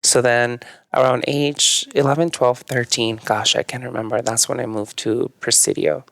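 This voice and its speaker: A young adult man from northern Mexico whose first language is Spanish, a podcast guest telling his story in a relaxed, reflective way with frequent fillers. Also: mid-range voice, normal speed, vocal fry. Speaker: podcast guest, yoga teacher. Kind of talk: casual conversational storytelling.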